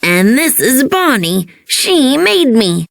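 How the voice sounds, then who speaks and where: Grown woman doing a sweet little kindergarten girl, cheerful and friendly, high bouncy pitch, kids story. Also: big pitch swings, slow, vocal fry, no room sound, clean studio sound, exaggerated voice. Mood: proud. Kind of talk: children's read-along character voice.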